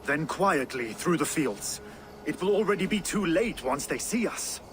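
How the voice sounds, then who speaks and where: An actor playing an earnest, determined rebel war captain in a dramatic game cutscene. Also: male voice, fairly high pitch, expressive, moderate pace, fairly bright tone, slight background noise.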